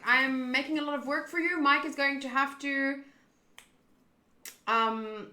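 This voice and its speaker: A young woman chatting casually with viewers during a live game playthrough, animated and jumping between loud outbursts and mumbled asides. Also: sits high, roomy sound. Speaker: streamer.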